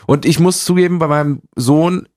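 A man's voice: a little high, moderate pace, darkish tone. A man in his forties from eastern Germany, chatting as an interview guest in a playful, rambling way. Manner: playful, many restarts, does dialect impressions. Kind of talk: casual interview chat.

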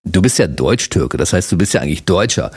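A man's voice: sits low, expressive, quick pace, a little mellow, husky. A man from East Berlin hosting a casual interview, upbeat and agreeable. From East Berlin, Germany. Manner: upbeat, agreeable, laughs often. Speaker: host.

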